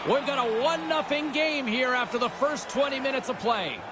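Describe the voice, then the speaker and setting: A man calling hockey play-by-play in excited, clipped phrases, as a game commentator. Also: extremely high pitch, normal speed, background noise.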